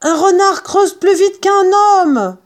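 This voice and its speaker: A woman voicing a bold, excitable father fox in a storybook, exclaiming and commanding. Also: fairly high pitch, normal speed, lightly acted. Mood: excited, urgent. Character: bold, excitable father fox, urgent leader of his family.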